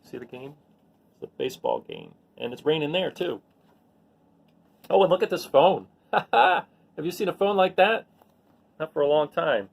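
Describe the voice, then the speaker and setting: A man's voice: fairly high pitch, moderate pace, gravelly, pauses often, husky. A man reading a picture book aloud expressively, with casual asides. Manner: expressive, acts out the lines. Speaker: read-aloud storyteller.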